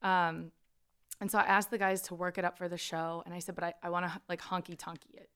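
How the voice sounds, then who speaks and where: A woman's voice: fairly low pitch, flat delivery, quick pace, a bit bright, smooth. A woman musician who is a guest on a radio show, talking in a friendly, rambling way with a slight grit in her voice. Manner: friendly and rambling, with frequent laughs. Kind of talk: casual interview chat. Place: radio studio.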